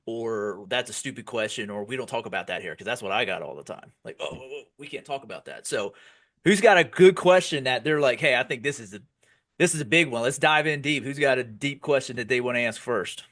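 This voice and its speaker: Bright, forceful American man hosting a podcast. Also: mid-range voice, brisk. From York, Pennsylvania, United States. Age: 40s. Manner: blunt, informal, directs the group. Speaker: podcast host.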